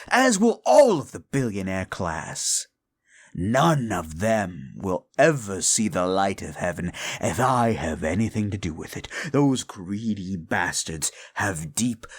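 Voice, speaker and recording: A man's voice: lowish voice, heavily acted. A man voicing a proud, scornful male demon of desire in an audio roleplay drama, who talks down to the listener with theatrical contempt.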